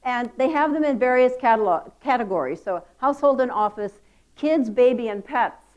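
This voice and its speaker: A woman with a loud voice giving a lively, chatty conference talk. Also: on the high side, normal speed. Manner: lively, chatty, self-deprecating. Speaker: conference speaker. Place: conference hall.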